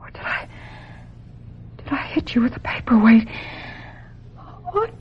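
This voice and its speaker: A woman playing a distraught, guilt-ridden film actress in an old-time radio drama, halting and melodramatic. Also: a little high, very animated, slightly rough, in a whisper, dull audio, full character voice. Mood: panicked, horrified.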